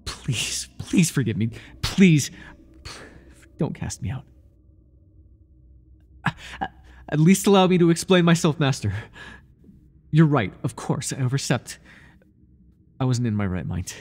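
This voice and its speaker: A man playing an obsessive, groveling high priest who serves a dark master, speaking in a pleading, servile way in an intimate ASMR roleplay. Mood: frantic pleading. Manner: pleading, servile, breathless with devotion.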